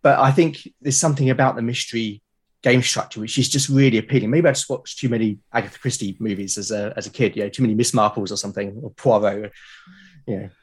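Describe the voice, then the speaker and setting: A British man talking casually as an interview guest, explaining things haltingly and often repeating his words. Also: mid-range voice, fairly even intonation, slightly rough. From Britain. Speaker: podcast guest, game master and podcast creator. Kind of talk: casual conversational interview talk.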